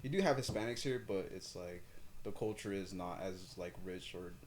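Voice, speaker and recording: A man's voice: sits low, normal speed, a little mellow, a little hiss or hum. A young man co-hosting a casual podcast chat, rambling loosely with plenty of "like" fillers. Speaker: podcast co-host.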